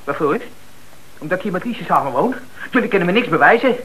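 An actor playing a defensive, blustering small-time crook with a streetwise, indignant manner in a radio play. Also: male voice, high voice, lively intonation, fast, fairly bright tone, a little hiss or hum, rough recording.

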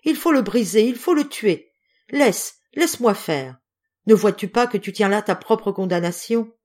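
A woman's voice: on the low side, animated, moderate pace, dark tone. A woman voicing an anguished young wife and mother, desperate and theatrical, in a dramatic story reading. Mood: frantic. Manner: impassioned, pleading, theatrical.

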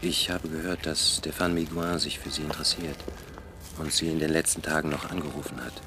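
Radio play casting: young police inspector, extremely low flat voice, formal courtesy, audible ambient noise. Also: male voice, fairly quick. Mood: polite, restrained. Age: young adult.

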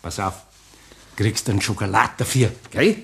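An actor playing a good-natured older Bavarian carpenter in a radio play, folksy, unhurried and patient. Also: male voice, lowish voice, creaky, fairly bright tone. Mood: patient, coaxing. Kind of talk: German radio play acting.

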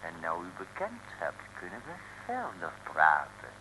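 An actor playing an officious communist interrogator who bullies and grovels, in an old Dutch comic radio serial. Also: male voice, low voice, huge pitch swings, normal speed, pushed and bright, full character voice. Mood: domineering, menacing. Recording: faintly noisy old radio recording.

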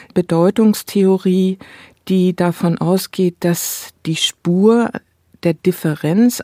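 A woman scholar giving an interview. Her voice is mellow and soft-edged, recorded dry and close, and she explains things in long, considered, academic sentences. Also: lowish voice, no room sound. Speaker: interviewed cultural studies scholar.

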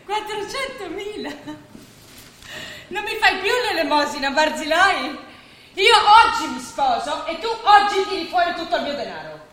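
A bitter young bride-to-be who demands what is hers. Her voice is high and theatrical, accusing in tone. She sounds off-mic in a heavily reverberant stage space. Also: very animated, normal speed, fairly bright tone, heavily acted. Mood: mocking. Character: defiant young heiress, bitter and demanding, about to marry. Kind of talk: staged radio drama acting in Italian. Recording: very echoey, distant stage recording, faint noise.

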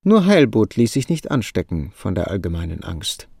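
A man's voice: fairly low pitch, sing-song, normal speed, vocal fry, darkish tone, husky, no echo, studio quality, full character voice. A man narrating a literary radio drama as a sober storyteller. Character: sober storyteller of a young couple's hardships.

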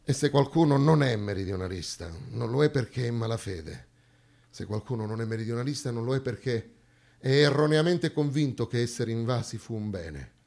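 A man's voice: mid-range voice, lively intonation, brisk, gravelly, darkish tone. A man acting on stage, delivering a dramatic monologue in an emphatic, declamatory way with rhetorical repetition. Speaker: stage actor performing a theatre monologue. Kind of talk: dramatic theatrical monologue, rhetorical repeated lines.

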